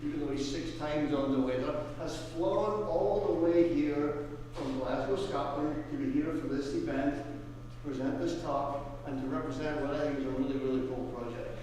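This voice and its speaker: A man's voice: a little high, normal speed, a little mellow, a little hiss or hum. A man introducing a guest speaker with enthusiasm at a public event. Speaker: event host. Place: large echoey lecture hall. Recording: echoey hall from far off.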